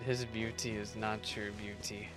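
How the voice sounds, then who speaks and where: A woman's voice: a bass voice, level tone, a little slow, low-quality recording. A woman chatting informally and a little drowsily as she reacts to a video game, with game music behind her. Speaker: gamer reacting to a playthrough. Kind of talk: casual chat, gameplay reaction.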